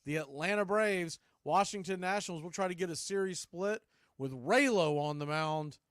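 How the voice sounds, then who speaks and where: A man's voice: sits high, moderate pace, clean tone, no room sound, clean studio sound. A man hosting a baseball fan show, giving casual, folksy postgame commentary. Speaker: host of a baseball fan radio stream.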